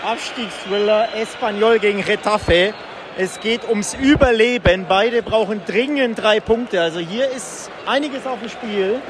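A man reporting live and casually from a football match as a podcast host, speaking in an excited, raised voice. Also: sits high, a little slow. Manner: excited, raised voice over stadium noise. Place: outdoors in a football stadium stand. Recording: loud stadium crowd noise, outdoor field recording. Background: loud cheering football crowd.

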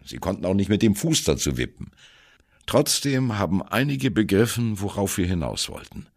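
An actor playing a wry, self-doubting ageing jazz drummer, telling his memories drily in a radio play monologue, with a gravelly, husky voice. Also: on the low side, big pitch swings, normal speed. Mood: dry, reminiscing. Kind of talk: radio play monologue, first-person narration.

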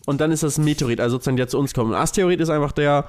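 A man's voice: on the high side, racing. A man in casual podcast chat as a co-host, explaining and giving opinions in colloquial language.

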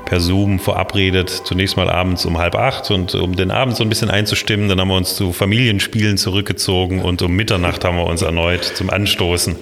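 A man in his fifties with a Swabian-Alemannic accent, a guest on an interview podcast, explaining things in a measured way with frequent hesitations. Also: deep voice, level tone, a bit bright, big-room sound, faint music behind. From Baden-Württemberg, Germany. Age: 50s. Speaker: city planning official, guest.

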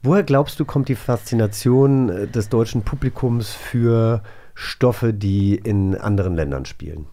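Mellow-voiced man hosting a casual interview. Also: on the low side, a little slow. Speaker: podcast interviewer and host.

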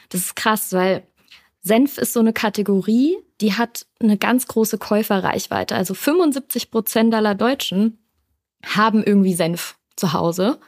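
A woman's voice: medium pitch, darkish tone, no room sound, clean studio sound. A woman from western Germany, explaining things conversationally as an interview guest. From Düsseldorf, North Rhine-Westphalia, Germany. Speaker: brand manager, podcast guest.

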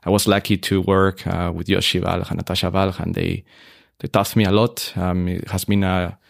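A deep-voiced man talks through his career at an easy pace. His voice is low and gravelly, full of "uh" and restarts. The sound is dry and close. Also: moderate pace, no echo. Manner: relaxed, many fillers and restarts. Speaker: hotel operations expert, guest. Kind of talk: casual interview conversation.

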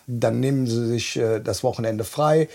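A man, co-hosting a podcast and telling stories with lively enthusiasm. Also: mid-range voice, moderate pace, gravelly. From Bonn, Germany. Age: 40s. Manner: animated, enthusiastic storyteller. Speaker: podcast co-host. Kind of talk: casual chat.

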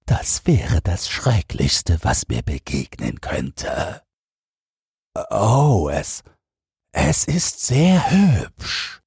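A man's voice: low-pitched, huge pitch swings, vocal fry, a little mellow, breathy edge, no room sound, clean studio sound, exaggerated voice. A man voicing a vain, insecure emperor in a fairy tale, pompous and drawing his words out. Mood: pompous approval. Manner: pompous, drawn-out. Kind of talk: fairy-tale audiobook character voice.

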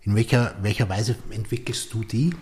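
Male podcast host, low dark husky voice, circles around his questions. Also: fairly even intonation, normal speed. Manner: asks rambling, reformulated questions with many restarts. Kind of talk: interview conversation.

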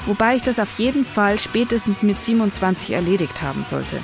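A moody teenage goth girl confides in her diary with defiant, self-serious earnestness. It is a radio play monologue at an ordinary female pitch, with music playing behind her. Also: animated, fairly quick, vocal fry. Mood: defiant, brooding.

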